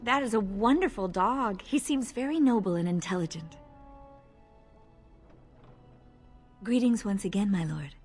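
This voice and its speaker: A woman playing a modest, gentle elven lady-in-waiting in a video game, speaking politely and deferentially. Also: mid-range voice, expressive, a little fast, close to an everyday voice. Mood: polite, grateful. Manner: polite, deferential, soft-spoken. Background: music.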